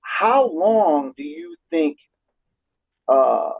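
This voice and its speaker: A man co-hosting a podcast, asking a question haltingly with many filler sounds. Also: a little high, big pitch swings, vocal fry, darkish tone, breathy edge, dry recording. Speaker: podcast co-host. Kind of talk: casual podcast conversation. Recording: dry, dull lo-fi sound.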